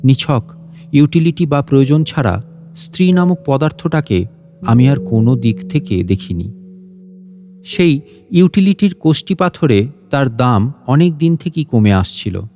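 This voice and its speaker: A man's voice: medium pitch, animated, unhurried, warm tone. A man reads a literary story as a scripted audio narration. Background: guitar and instrumental music.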